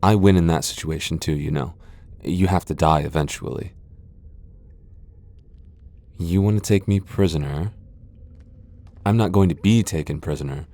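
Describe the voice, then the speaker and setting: A very deep-voiced, centuries-old male vampire lord, acted out by a man for a fantasy audio roleplay. He speaks with haughty, sardonic disdain. His delivery is theatrical and taunting, that of a proud immortal who looks down on his listener. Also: normal speed, pauses often, warm tone. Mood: wry, grudging. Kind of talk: ASMR fantasy roleplay acting.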